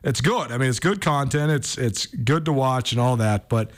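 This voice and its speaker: A man's voice: medium pitch, expressive, moderate pace. An American man hosting a sports talk radio show, commenting casually and thinking aloud as he goes.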